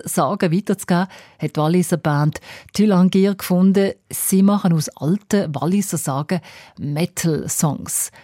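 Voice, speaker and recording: Female radio presenter, Swiss German accent, soft dark tone, dry studio sound. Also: fairly low pitch, dry recording. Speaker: radio host.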